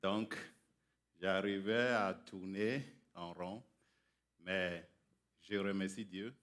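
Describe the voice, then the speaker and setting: Slow, low-voiced preacher. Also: male voice, steady tone, fairly bright tone. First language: English. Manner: halting, careful beginner's French. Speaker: guest preacher. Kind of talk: sermon introduction. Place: church sanctuary.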